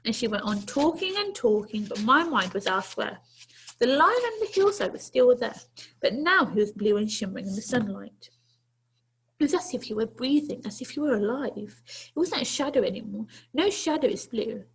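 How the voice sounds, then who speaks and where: Animated, husky woman reading a story. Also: on the high side, moderate pace, rough recording. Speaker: teacher reading to pupils. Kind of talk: expressive children's story narration.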